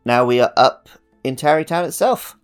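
A man co-hosting a gaming podcast in relaxed, informal conversation about a video game. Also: mid-range voice, normal speed, clean tone, faint music behind.

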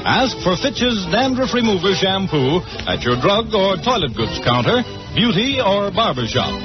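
Male pitchman, fairly high voice, lively intonation, scripted 1940s radio advertising, dull old recording. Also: a little slow, music in the background.

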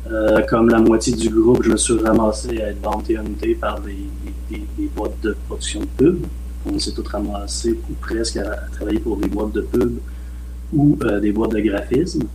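Relaxed Québécois man near fifty. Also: lowish voice, normal speed, a little hiss or hum, low-quality recording. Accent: Québécois French. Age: late 40s. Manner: relaxed, rambling, frequent "euh" and "mettons". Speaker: film director guest. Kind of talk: casual podcast interview.

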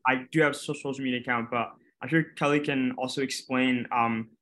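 A man's voice: medium pitch, fairly even intonation, brisk, a bit bright, rough recording. A young man, a student guest in an interview, speaking openly and with frequent stuttered repetitions and blocks. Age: young adult. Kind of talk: interview answers.

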